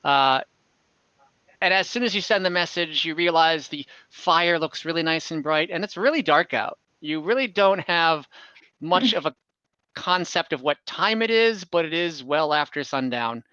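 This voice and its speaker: Bright-voiced man game mastering, dry sound. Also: fairly high pitch, animated, normal speed, clear, resonant tone, no room sound, dull audio. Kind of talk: improvised tabletop game narration. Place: online voice call.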